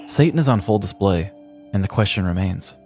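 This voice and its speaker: An American man speaks as a podcast co-host, in chat or in scripted storytelling. His voice sits fairly low, and there is some music in the recording. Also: animated, brisk, a little mellow. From small-town Missouri, US.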